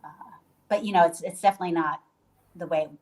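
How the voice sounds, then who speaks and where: A woman's voice: fairly low pitch, steady tone, fast, a little mellow. A woman from Puerto Rico talking casually on a video call from a guesthouse bedroom, loose and chatty with many fillers and restarts. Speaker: guest, hostel owner.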